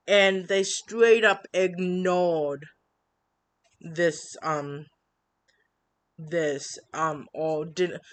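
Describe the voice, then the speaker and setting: A woman vlogging an upset complaint, halting with many fillers and restarts, reading from written notes. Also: fairly low pitch, pushed and bright. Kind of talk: personal complaint vlog.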